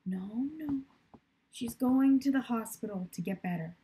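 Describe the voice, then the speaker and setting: A woman voicing a caring, steady female family friend in a storybook, gently explaining and consoling. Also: on the high side, unhurried, husky, rough recording. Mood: reassuring.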